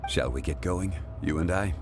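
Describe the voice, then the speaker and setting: A man voicing a calm, dignified former male god in a video game, speaking in a measured, formal way. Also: deep voice, lightly acted. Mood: composed. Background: game music.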